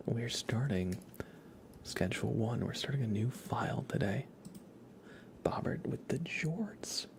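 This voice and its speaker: A man livestreaming a game in ASMR style, softly whispering in a relaxed, casual way as he thinks aloud and chats with viewers. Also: mid-range voice. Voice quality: soft, breathy whisper.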